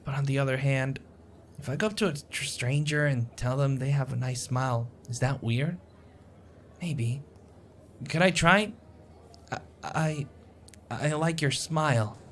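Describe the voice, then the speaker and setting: A man's voice: medium pitch, measured pace, long pauses, slight background noise, natural voice. A man playing a shy, anxious, sweet young male stranger in a romantic audio roleplay, hesitant, stammering and full of self-doubt. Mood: nervous.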